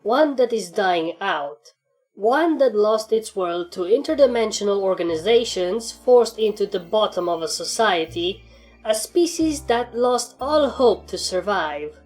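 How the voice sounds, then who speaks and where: A world-weary alien living as a pony, acted out by a woman in an audio fan fiction. The delivery is dry, deadpan and matter-of-fact, at a middling pitch. Music plays underneath. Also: a little slow, lightly acted, low-quality recording. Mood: grim. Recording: music behind the voice.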